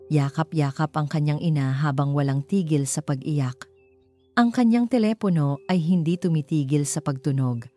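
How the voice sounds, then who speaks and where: A woman's voice: low voice, warm tone. A woman with a Filipino accent reading a dramatic romance story as a scripted narration.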